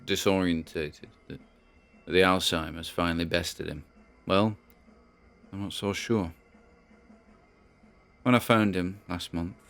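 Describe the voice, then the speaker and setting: A British man playing a troubled grandson who records a halting, confiding audio diary in an audio drama. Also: low voice, vocal fry. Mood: brooding, uneasy.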